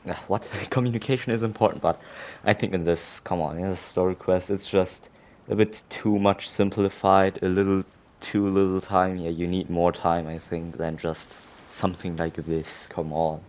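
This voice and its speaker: Deep-voiced man casually commenting on a game. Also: little pitch movement, a little mellow. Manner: halting, rambling. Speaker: gamer commenting on a playthrough. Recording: dull lo-fi mic, faint game music. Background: game music.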